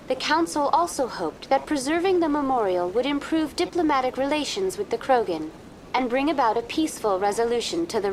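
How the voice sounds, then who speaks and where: A woman playing a polite, unflappable virtual tour guide program in a video game, speaking in a formal, measured, impersonal way. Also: on the high side, slight background noise, lightly acted, low-quality recording. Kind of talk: scripted informational narration in a video game.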